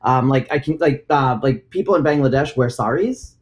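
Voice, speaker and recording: A man's voice: medium pitch, moderate pace. A man in casual discussion as host, talking in a rambling way with frequent fillers and restarts. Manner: rambling, many likes and restarts. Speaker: podcast host.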